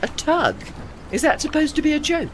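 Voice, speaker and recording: A woman's voice: high-pitched, very animated, moderate pace, a little hiss or hum. An actress playing a haughty, dry-witted old lady ship with an arch, formal manner in a period audio drama. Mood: imperious, dry.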